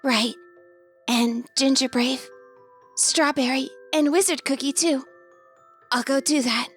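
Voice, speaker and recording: A woman playing a gentle, hesitant cookie character with a breathy voice in a fan cartoon drama. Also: sits high, steady tone, takes it slowly, soft background music. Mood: agreeable. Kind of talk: scripted fan audio drama acting.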